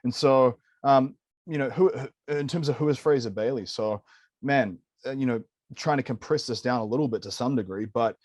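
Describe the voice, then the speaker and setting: A man's voice: mid-range voice, normal speed, darkish tone, no room sound. New zealand man, late thirties, candid, upbeat, dry close sound. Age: 30s. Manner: candid, enthusiastic, peppers speech with "man" and "dude". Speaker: guest nutritionist. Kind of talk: casual interview storytelling.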